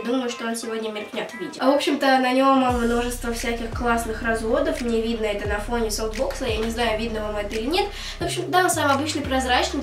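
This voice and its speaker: A thirteen-year-old girl from Russia chatting casually to camera as a video blogger, informal and rambling in a girlish way. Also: fairly high pitch. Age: 13 years old. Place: small attic room at home. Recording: roomy echo, distant mic, music behind. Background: background music.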